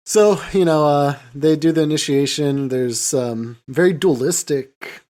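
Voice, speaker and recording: A man's voice: a little high, measured pace, a little mellow. A man hosting a podcast, talking casually about films in a hesitant, rambling way with lots of "you know". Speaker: podcast host. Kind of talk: casual film discussion.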